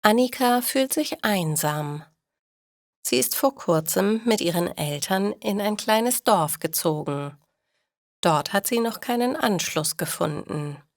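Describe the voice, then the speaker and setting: A woman narrating a scripted story for language learners in a careful, measured read-aloud manner. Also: medium pitch, lively intonation, dry recording, studio quality. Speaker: narrator of stories for German learners.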